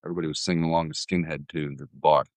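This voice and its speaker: A man's voice: extremely low pitch, little pitch movement, rapid-fire, darkish tone, dry recording. A man from a band chatting casually as an interview guest about being on the road. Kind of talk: casual chat.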